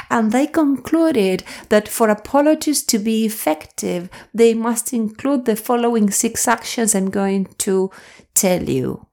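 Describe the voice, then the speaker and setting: A woman hosting a wellness podcast, slightly hoarse, talking directly to listeners in a deliberate, carefully enunciated way. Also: mid-range voice, a little mellow. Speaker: podcast host, wellness coach. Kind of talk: podcast talk addressed to listeners, part scripted.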